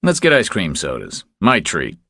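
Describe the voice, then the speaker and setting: A man voicing a calm, kindly, laconic hero in a thriller audiobook. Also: low-pitched, moderate pace, husky, no echo, studio quality, heavily acted. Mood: kindly, encouraging.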